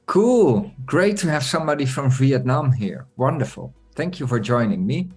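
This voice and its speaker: A man hosting an online community meeting, talking in a relaxed, chatty way with many fillers. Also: mid-range voice, normal speed, husky, soft background music. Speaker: meeting host.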